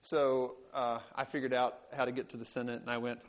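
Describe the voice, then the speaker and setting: A man from rural North Carolina with a Southern American accent, giving a folksy, halting storytelling talk as a panel speaker. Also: medium pitch, level tone. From rural North Carolina, United States. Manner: folksy, halting with repeated words. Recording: dull, band-limited live talk recording.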